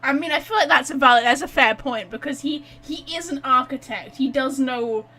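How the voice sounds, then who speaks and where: A woman giving casual, expressive gameplay commentary as a streamer, teasing and informal. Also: high-pitched, fairly quick. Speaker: streamer reacting to a game story.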